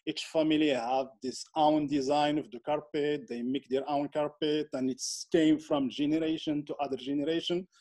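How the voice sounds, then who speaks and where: A man from Morocco, a native Berber speaker with a Moroccan Berber accent, explains things informally in halting, repetitive non-native phrasing. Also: fairly high pitch, a little mellow. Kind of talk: informal explanatory interview talk.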